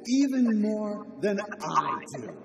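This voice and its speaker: A man's voice: high-pitched, sing-song, slow pace, creaky, highs cut off, full character voice. A cruel, mocking pony father. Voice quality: menacing, mocking growl. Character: cruel abusive pony father, middle-aged male. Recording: long hall reverb with loud music.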